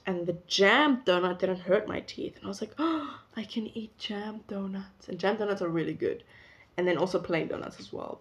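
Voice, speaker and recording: A young woman in her late teens with a South African accent, chatting casually to camera in a rambling, self-interrupting way. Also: mid-range voice. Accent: South African English. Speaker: vlogger. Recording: dull lo-fi recording.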